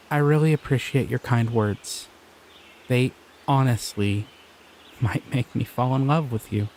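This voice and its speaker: A young man voicing a shy, excitable male slime creature in an audio roleplay, talking in an earnest, flustered, giggly way. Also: medium pitch, slow pace, pauses often, a little hiss or hum. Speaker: voice actor.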